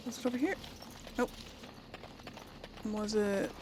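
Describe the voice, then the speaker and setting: A woman's voice: medium pitch, slow. A woman giving casual gameplay commentary, thinking aloud to viewers in short reactive remarks. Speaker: gaming video host. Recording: clear game noise behind voice. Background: game sound effects and ambience.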